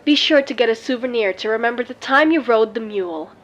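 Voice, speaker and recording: A woman playing a grandiose showwoman guide in a fantasy audio roleplay, with salesmanlike patter and dramatic pauses. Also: a little high, muffled recording, close to an everyday voice. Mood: bright, courteous.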